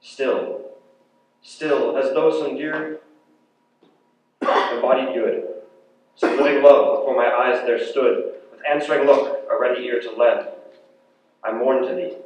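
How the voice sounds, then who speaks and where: A man's voice: medium pitch, fairly even intonation, unhurried, pauses often, darkish tone. A young man in his teens giving a dramatic, declamatory poetry recitation with emphatic, theatrical phrasing. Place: large echoey indoor hall at a poetry competition. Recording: very echoey hall, distant dull mic, faint noise.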